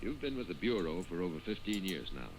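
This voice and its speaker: An actor playing a stern, impatient boss, curt and authoritative, in a very noisy 1950s radio drama recording. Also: male voice, low voice, very animated, fast. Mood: stern irritation.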